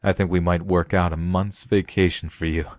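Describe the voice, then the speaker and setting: A man voicing an apologetic, accommodating official in a story, soothing and measured. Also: low-pitched, level tone, no room sound, natural voice. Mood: apologetic, reassuring.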